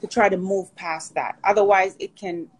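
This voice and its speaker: A woman's voice: medium pitch, steady tone, moderate pace, slightly rough. A woman from Jamaica, a podcast guest, chatting openly and telling a personal story with easy laughs. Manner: open, storytelling, laughs easily. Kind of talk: casual conversational interview.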